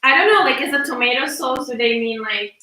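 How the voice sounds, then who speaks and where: A woman's voice: high voice, animated, brisk, sharp tone, far from the mic, a little hiss or hum. A woman with an Italian accent, a native Italian speaker, chatting casually with a friend while cooking, easygoing and prone to giggles. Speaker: friend co-hosting. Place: home kitchen. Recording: echoey room.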